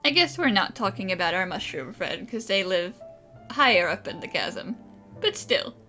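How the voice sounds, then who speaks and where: A woman's voice: mid-range voice, very animated, normal speed, pushed and bright. A woman giving casual, chatty and wry commentary as she plays through a video game. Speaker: gaming streamer. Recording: faint game music behind.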